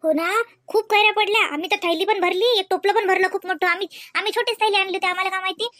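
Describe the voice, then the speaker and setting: स्त्री कलाकाराने साकारलेली उंच आवाजाची वऱ्हाडी तरुण गावाकडची सून. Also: female voice, very high voice, steady tone, fast talker, pushed and bright, no room sound, clean studio sound. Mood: chatty, playful. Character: young village wife, chatty, playful, eager for raw mangoes. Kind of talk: comedy cartoon dialogue.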